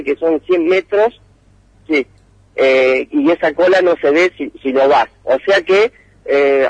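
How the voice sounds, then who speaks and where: A man giving a meandering radio report by phone, pausing often on fillers. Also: fairly high pitch, no echo, low-quality recording. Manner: meandering, hesitant with many fillers.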